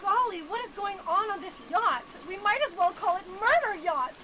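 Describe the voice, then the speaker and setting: A woman's voice: very high voice, expressive, moderate pace, noisy background. A woman playing a prim, fretful and melodramatic heiress in an amateur murder mystery audio drama. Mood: flighty. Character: prim, dramatic heiress Penelope, falsely innocent.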